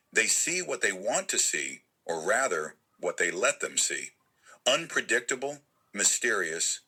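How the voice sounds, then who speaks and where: A man playing a coldly controlling, scheming member of a secret circle in an audio drama, speaking in deliberate, menacing phrases with a husky edge. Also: lowish voice, pushed and bright, heavily acted. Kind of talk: scripted audio drama monologue.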